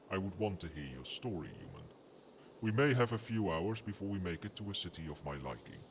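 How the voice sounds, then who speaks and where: A man voicing a proud, possessive dragon with formal, haughty, measured speech in an ASMR roleplay. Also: low-pitched, background noise. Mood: softened, proud. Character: proud, imperious male dragon, possessive but grudgingly protective.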